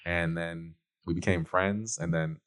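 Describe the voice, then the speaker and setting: Young texas rapper guy, really deep voice, rambly, says like a lot. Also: steady tone. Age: young adult. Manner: quick, rambling, heavy use of "like". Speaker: rapper guest. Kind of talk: casual radio interview.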